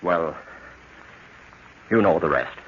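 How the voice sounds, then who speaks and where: An agitated, rough-voiced sailor. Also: male voice, low-pitched, heavily acted. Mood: subdued confessing. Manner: excitable, halting, pleading. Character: cornered, agitated male sailor, defensive, guilt-ridden animal owner. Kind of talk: old-time radio drama acting. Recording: dull old radio recording.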